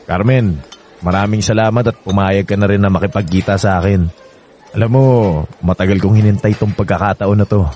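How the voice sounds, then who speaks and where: An actor playing a menacing, obsessive ex-lover in a radio drama, with an insistent, taunting manner. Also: male voice, fairly low pitch, steady tone, fairly quick, darkish tone, slight background noise, close to an everyday voice. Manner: insistent, taunting, with mocking drawl. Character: menacing, obsessive married ex-lover of a younger woman.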